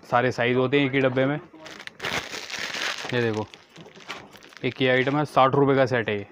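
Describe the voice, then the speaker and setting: A man's voice: mid-range voice, fairly even intonation, moderate pace, dull audio, noisy background. A man giving a matter-of-fact product showcase as a wholesale shopkeeper, repeating prices and details. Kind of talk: product showcase sales talk. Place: small packed wholesale bangle shop, indoors. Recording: busy shop chatter behind the voice.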